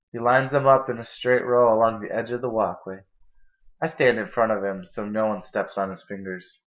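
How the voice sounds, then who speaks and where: A young adult man reading a children's picture book aloud in a storytelling style, recorded indoors on a camera mic. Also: medium pitch. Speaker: storybook reader. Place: indoor kitchen and living room. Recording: dull, lo-fi camera audio.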